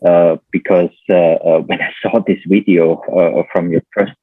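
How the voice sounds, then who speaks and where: A man's voice: sits low, little pitch movement, a little slow, husky, dry recording, phone-quality sound. A man with a German accent, a podcast guest talking casually and hesitantly, with many fillers and restarts. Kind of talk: casual chat.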